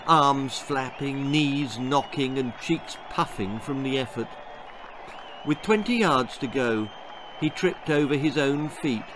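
An English man in his sixties reading a children's story aloud with dramatic, expressive, deliberate narration. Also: medium pitch, noisy background. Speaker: author reading own children's stories. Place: small book-lined room indoors.

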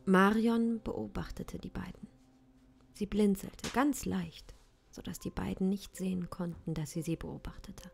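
A young woman improvising story narration in a hushed, measured, suspenseful way, close on a studio microphone. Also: mid-range voice, fairly even intonation, vocal fry, soft background music. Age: young adult. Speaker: improv audio drama narrator. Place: small home studio, indoors.

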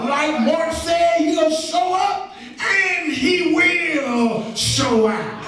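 Male American Baptist preacher, very high voice, slow emphatic half-shouted, half-sung cadence; distant, echoing church recording. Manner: emphatic, shouting and half-singing delivery with repeated refrains. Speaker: associate minister preaching. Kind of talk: Baptist sermon. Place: large church sanctuary, at the pulpit. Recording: echoey church hall from far off, congregation noise.

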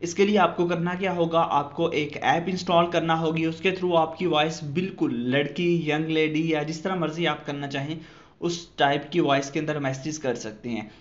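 A man's voice: on the high side, fairly even intonation, moderate pace. A man hosting a phone app tutorial voice-over, explaining step by step in an instructional way. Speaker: tutorial channel host. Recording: dull, muffled lo-fi voice-over.

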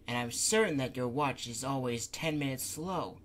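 A man's voice: mid-range voice, moderate pace, a bit bright, slight background noise, natural voice. A man voicing Mistress Kaku, a stern, bossy older woman who scolds the others. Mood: chiding. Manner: commanding, scolding.

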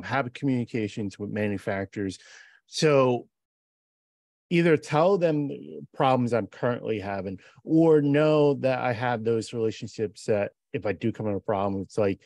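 A man's voice: mid-range voice, darkish tone, no room sound. Earnest man explaining on a podcast. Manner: earnest, explanatory, quick bursts of talk. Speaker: programmer and podcast co-host. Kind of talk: casual conversational podcast. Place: home office on a video call. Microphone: podcast mic with headphones.

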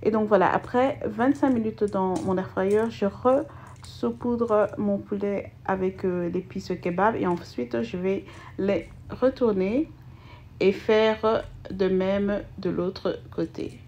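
A woman's voice: mid-range voice, slow, mellow, a little hiss or hum. A woman, hosting a home cooking video as she talks viewers through a recipe in a chatty, hesitant way with many fillers. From Belgium. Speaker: cooking channel host. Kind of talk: casual cooking voice-over.

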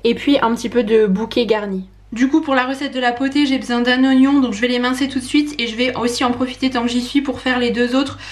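Woman, thirties, fairly high pitch, chatty, casual cooking vlog narration, conversational. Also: steady tone, fast, few pauses. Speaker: lifestyle and cooking vlogger. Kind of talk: casual vlog narration, talking viewers through food. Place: home kitchen, indoors.

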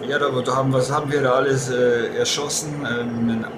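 A man's voice: medium pitch, little pitch movement, brisk, background noise, lightly acted. A man playing a slangy, trigger-happy young hunter dude in an amateur horror audio drama, talking casually and hesitantly. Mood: laid-back. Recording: echoey, loud music bed behind.

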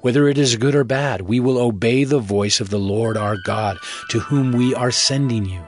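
A male actor as an earnest spokesman for his people in a scripture audio drama. He pledges formally in a medium-pitched voice, with music playing behind. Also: moderate pace, natural voice. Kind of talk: dramatized audio Bible acting.

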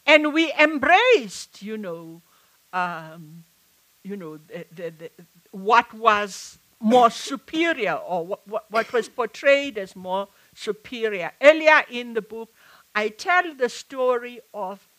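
Older South African woman, slow and bright. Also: a little high, expressive, poor audio. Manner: reflective, halting with many restarts. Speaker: author and activist. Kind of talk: public conversation on stage. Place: stage at a live event. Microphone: headset mic.

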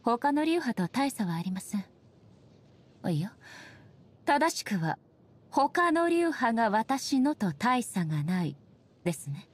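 A woman voicing a dignified, ageless shogun goddess in a game, speaking softly in formal, measured, polite phrases. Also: fairly high pitch, big pitch swings, heavily acted. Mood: stern, formal. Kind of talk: scripted game character voice lines.

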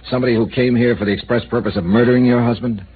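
A man's voice: mid-range voice, fairly even intonation, rapid-fire, natural voice. An actor playing a tough, blunt insurance investigator in a 1950s radio drama, pressing his questions in a dry way. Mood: probing. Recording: tinny old radio broadcast with hiss.

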